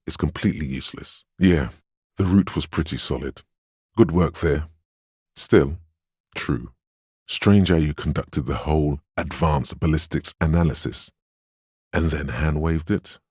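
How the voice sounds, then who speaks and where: An actor playing a stern, steadfast inner voice of willpower in a video game, giving measured, solemn counsel and urging composure. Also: male voice, rumbling low, a little mellow, breathy edge, dry recording, clean studio sound, heavily acted. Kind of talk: dramatic video game voice acting.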